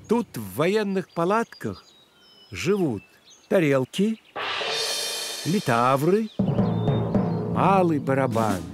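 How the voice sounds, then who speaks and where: An actor playing a courteous maestro guide, narrating an educational children's cartoon expressively. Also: male voice, mid-range voice.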